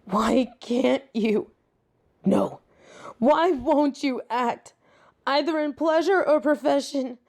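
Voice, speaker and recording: A woman's voice: very high voice, darkish tone, full character voice. A woman playing a flirty, proud catgirl thief in an intimate audio roleplay, speaking dramatically and emotively to one listener. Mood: frustrated, desperate.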